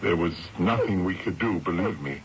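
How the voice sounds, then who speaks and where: An actor playing a grave, puzzled hospital doctor in a radio drama, speaking formally and earnestly. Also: male voice, low voice, normal speed. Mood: grave, sympathetic. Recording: dull, noisy old radio broadcast.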